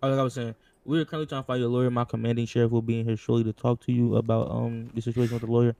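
A man's voice: medium pitch, flat delivery, rapid-fire, creaky, warm tone, close to an everyday voice. A man playing a casual, streetwise police detective in improvised game roleplay, with slangy, conversational delivery. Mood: casual, probing. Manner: casual, slangy, hesitant with many asides.

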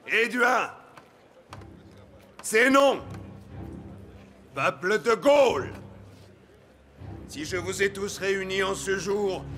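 Imperious Roman general, middle-aged, slow declamatory oration, raised pitch, animated docudrama. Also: male voice, exaggerated voice. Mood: solemn, commanding. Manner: declamatory, deliberate, forceful projection.

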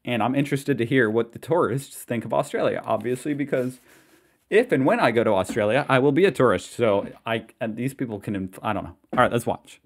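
A man's voice: mid-range voice, brisk. A young American man hosting a reaction video, talking casually with rambling, self-questioning asides from a small room. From United States.

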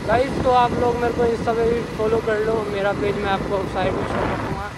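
A young man with a fairly high voice chats informally to his viewers as he rides a motorbike along a hill road. His voice comes through heavy wind and engine noise, picked up on a phone or small camera outdoors. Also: fairly even intonation, fairly quick. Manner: chatty, informal, addresses viewers directly. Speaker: vlogger. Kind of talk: casual travel vlog narration while riding.

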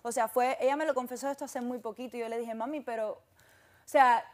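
Young Cuban woman with a highish voice. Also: fairly even intonation, brisk. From Cuba. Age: young adult. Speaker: interview guest, model. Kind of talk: casual personal storytelling. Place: television studio set with sofas.

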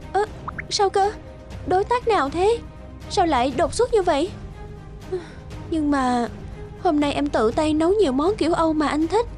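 A woman voicing a young wife in a cartoon, eager to please yet wistful, soft and expressive. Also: very high voice. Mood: pleading. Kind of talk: Vietnamese cartoon dubbing. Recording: guitar music under the voice.

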